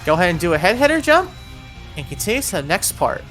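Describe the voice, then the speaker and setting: A woman narrates a video game guide with a lively, swinging pitch. She talks the player through each move in clear instructional steps, adding cautions as she goes. Music plays behind her voice. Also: quick pace. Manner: addresses the player with step-by-step cautions. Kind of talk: voiced walkthrough commentary, instructional.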